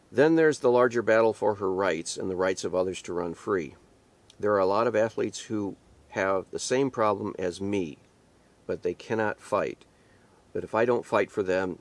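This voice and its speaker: Male pastor host, fairly low voice, reads quotes then argues back in pointed rhetorical questions. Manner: repeats himself and restarts sentences. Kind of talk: reading an article aloud and commenting.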